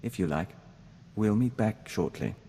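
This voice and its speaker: A calm courteous hive diplomat from a game, low voice, formal and measured, big echo. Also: male voice, slight background noise. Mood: gentle, sincere. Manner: measured, formal, speaks of himself as we. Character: serene, courteous male hive-bonded diplomat, mystical and devoted. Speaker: companion character in a video game. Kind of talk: scripted game dialogue.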